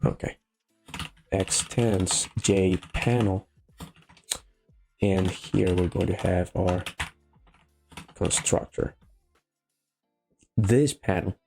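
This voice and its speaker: Male coding host, Venezuelan Spanish accent, gravelly and mellow, thinking out loud in a casual, halting walkthrough. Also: sits low, steady tone. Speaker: programming tutorial host. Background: faint music, keyboard typing.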